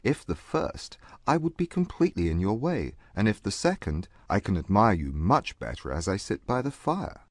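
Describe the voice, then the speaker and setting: A man playing a proud, aloof Regency gentleman in a dramatized audiobook, speaking formally and with measured haughtiness. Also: lowish voice, very animated, no echo. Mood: haughty, dry. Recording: music bed under the voice.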